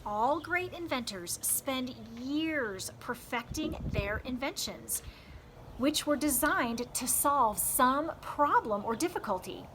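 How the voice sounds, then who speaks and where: A woman with a fairly high voice reads aloud like an audiobook host. Her delivery is deliberate and emphatic, shaped for dramatic storytelling. There is faint noise on the amateur recording. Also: lively intonation, a bit bright, low-quality recording. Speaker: read-aloud host for a youth scouting program. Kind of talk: expressive audiobook-style read-aloud narration.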